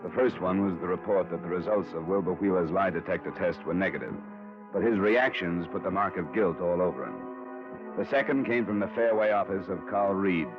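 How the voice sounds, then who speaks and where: Male actor, low register: hardboiled investigator-narrator, terse and probing, vintage radio crime drama, dull recording with music. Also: a little fast, lightly acted. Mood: matter-of-fact narration. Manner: terse, probing, matter-of-fact.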